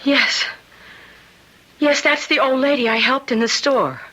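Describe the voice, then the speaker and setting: Lost nervous girl in radio play, high breathy voice, stammers. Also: unhurried, pushed and bright, lo-fi sound, full character voice. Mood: anxious. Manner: hesitant, stammering. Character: confused, anxious young woman with amnesia. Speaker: actor. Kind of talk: radio drama acting.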